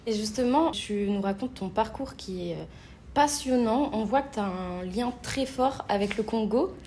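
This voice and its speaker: Lady doing interview questions, slightly high voice, informal, agrees a lot, little noise behind her. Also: dark tone. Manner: affirming, informal, pausing mid-question.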